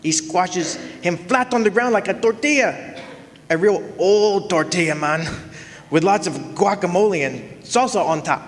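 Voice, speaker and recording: A man's voice: high-pitched, moderate pace, slight background noise, natural voice. A male actor playing a sleazy, grungy chicken-raising trainer with a put-on Latin accent, rambling and trailing off, in a live stage radio play. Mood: indignant. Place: historic club hall, live audience performance. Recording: long echoing hall reverb.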